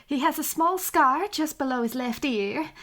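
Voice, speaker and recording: An actress playing an anxious, doting 1940s widow in a noir audio drama, earnest and hesitant. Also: female voice, high-pitched, moderate pace, fairly bright tone. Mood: fond, wistful.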